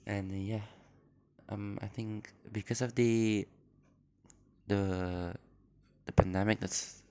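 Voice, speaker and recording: A man's voice: on the low side, fairly even intonation, slow pace, warm tone, clear, resonant tone. A man from Indonesia, introducing his podcast in a hesitant, apologetic, rambling way full of fillers, recorded on a phone at home. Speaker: podcast host. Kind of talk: casual unscripted introduction.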